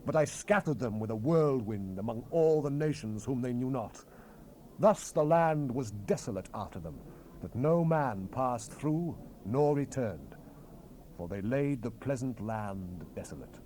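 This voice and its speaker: Through light cassette hiss comes a man performing a solemn Hebrew prophet in a scripture drama. His tone is soft-edged and dark, and his pitch rises and falls widely as he declaims with commanding, emphatic phrasing. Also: lively intonation, measured pace, natural voice. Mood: stern, grave. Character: solemn, commanding male Hebrew prophet relaying God's word. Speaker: scripture narrator. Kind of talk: dramatized Bible reading. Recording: hissy unprocessed cassette tape rip.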